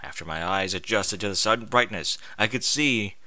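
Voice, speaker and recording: A man reading a first-person story aloud in an expressive, informal way, as if acting out the narrator's thoughts. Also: lowish voice, normal speed, dry recording. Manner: expressive, informal, sweary interjections. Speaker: fan fiction reader.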